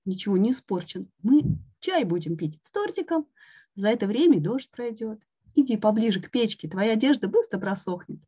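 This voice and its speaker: A woman voicing an excitable, cheerful male frog in a storybook reading, coaxing his friend and exclaiming often. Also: mid-range voice, moderate pace, husky. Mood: soothing. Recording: dull lo-fi recording.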